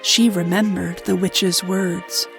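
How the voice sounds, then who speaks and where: A woman's voice: lowish voice, fairly even intonation, slow, gravelly, soft background music. A woman giving a scripted narration of a children's fairy tale.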